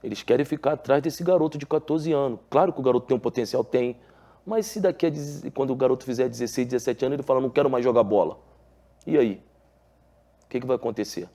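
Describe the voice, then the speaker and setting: A man's voice: medium pitch, fast talker, mellow. A man with a Carioca accent, a former footballer telling his story in a TV interview, earnest, with many filler tags and restarts. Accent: Carioca Portuguese. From Rio de Janeiro, Brazil. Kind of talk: relaxed TV interview.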